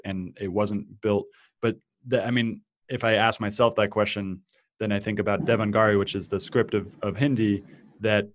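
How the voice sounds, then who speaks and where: A man hosts an interview with an inquisitive, think-aloud way of asking questions. His voice sits a little low. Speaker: podcast host. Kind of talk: interview conversation.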